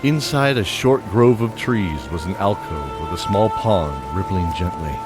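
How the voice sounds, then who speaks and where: A man narrating a fantasy audio drama in a measured, descriptive storytelling style, with faint music behind him. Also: low voice, animated.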